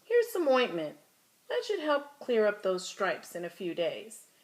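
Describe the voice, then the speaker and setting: A woman acts out a fussy male family doctor from a children's storybook. He is brisk and baffled, exclaiming and questioning, with pitch that swings widely. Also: wildly swooping pitch, low-quality recording. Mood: brisk, reassuring. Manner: exclaiming, rattles off lists, mumbles.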